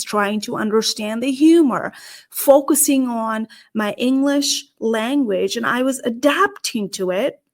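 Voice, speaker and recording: A middle-aged woman from Nepal with a Nepali accent, talking earnestly to camera as a career show host and posing questions to her listeners. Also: on the high side. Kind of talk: solo talk to camera.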